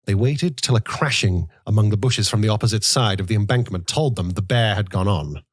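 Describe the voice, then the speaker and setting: A man narrating a literary audiobook in a measured, dramatic storytelling manner. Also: on the low side, dry recording, studio quality.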